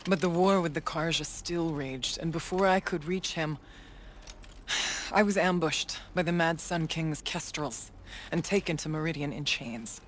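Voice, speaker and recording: Solemn shaman huntress lady, formal measured game drama, bit of background noise. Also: low-pitched. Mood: grave, regretful. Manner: measured, formal storytelling phrasing. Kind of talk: dramatic video game cutscene acting.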